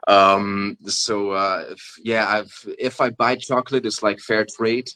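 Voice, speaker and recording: A man's voice: fairly low pitch, flat delivery, bright, forceful tone, clear, resonant tone, no echo. A Flemish man from Belgium whose first language is Dutch, a rapper guest speaking casually in an interview with many fillers and restarts. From Belgium (Flanders). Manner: halting, many fillers and restarts, laughs often.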